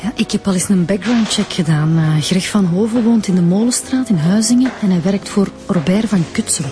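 Female actor, Flemish police detective, average pitch, chatty, inquisitive, radio drama, background music. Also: brisk, natural voice. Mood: eager, tentative. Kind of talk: naturalistic radio drama acting.

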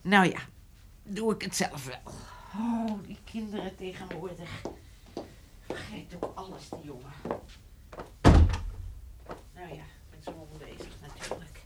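A woman's voice: fairly low pitch, unhurried, long pauses, heavy background noise, lightly acted. An actress playing an older, plain-spoken, grumbling farm mother and grandmother in a radio play. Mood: weary, grumbling. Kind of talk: radio drama acting.

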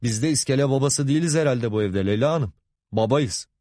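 A man's voice: medium pitch, animated, a little fast, a little mellow, dry recording, studio quality, pitched above the performer's own voice, natural voice. A man voicing a proud, hot-tempered husband and father, stern and exasperated, with indignant warnings. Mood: stern, angry.

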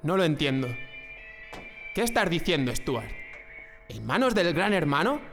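A man's voice: a little high, big pitch swings, unhurried, a bit bright, full character voice. A man from playing an anxious, defiant dissident in a radio drama, earnest and questioning. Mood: defiant, accusing. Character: defiant, anxious male dissident who hates the regime. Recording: long hall reverb, faint music behind.

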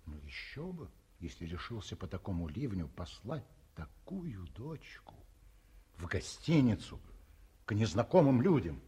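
A man's voice: on the low side, sing-song, mellow, husky, full character voice. An actor playing a tired, teasing older father in a 1950s radio play. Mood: musing teasing. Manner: musing, teasing, unhurried. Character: weary, ironic older father.

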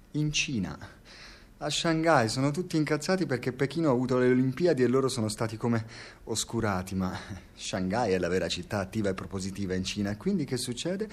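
An actor playing a frustrated, foul-mouthed scientist in an Italian radio drama, sarcastic and given to profane ranting. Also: male voice, mid-range voice, natural voice. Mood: wry, brooding. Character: frustrated, foul-mouthed male scientist turned reluctant playwright. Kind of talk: Italian radio theatre acting.

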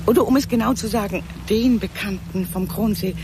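Reservierte, angespannte Frau von einer Schauspielerin gespielt, Geräuschkulisse. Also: female voice, mid-range voice, fairly quick, close to an everyday voice. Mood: guarded, hesitant. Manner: hesitant, reserved, polite. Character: troubled, guarded middle-class woman, evasive and distracted. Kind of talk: naturalistic German radio drama. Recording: clearly noisy scene ambience.

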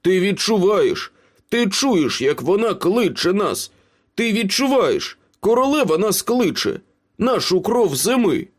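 A man's voice: high-pitched, sing-song, unhurried, pitched above the performer's own voice, exaggerated voice. A man voicing a wounded, cowardly young male traitor in a storybook, pleading and protesting breathlessly. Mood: urgent persuading. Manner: frantic, gasping pleas and protests.

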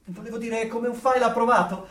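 Radio play: eager, proud conformist, very high voice, theatrically amazed, slightly distant in a reverberant room. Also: male voice, fairly even intonation, brisk, fairly bright tone. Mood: fawning. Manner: chatty, emphatic, theatrically amazed. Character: gushing, proud parent and eager conformist in dystopia. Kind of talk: theatrical radio drama acting. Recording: echoey room, distant from mic.

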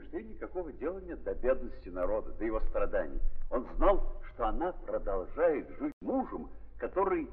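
An actor playing an ironic, impassioned storyteller, narrating a 1930s literary radio drama with theatrical emphasis and a raspy voice. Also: male voice, on the high side, full character voice. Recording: muffled 1930s recording, hall reverb.

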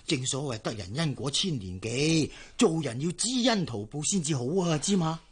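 A man with a Hong Kong Cantonese accent playing a loyal old servant in a radio drama, husky, fatherly and moralising. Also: fairly high pitch, animated, normal speed. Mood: admonishing. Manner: avuncular, sighing, proverb-quoting.

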